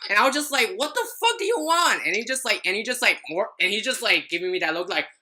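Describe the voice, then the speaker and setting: A woman's voice: mid-range voice, big pitch swings, quick pace, bright, forceful tone, slight background noise. A young adult woman telling a personal story in casual podcast chat, rambling and often stumbling over her words.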